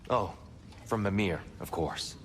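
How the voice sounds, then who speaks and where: An actor playing a gentle, humble, courteous counsellor with formal, soft-spoken phrasing in a video game, in a reverberant space. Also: male voice, sits low, a little slow, slight background noise. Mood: gentle, gracious.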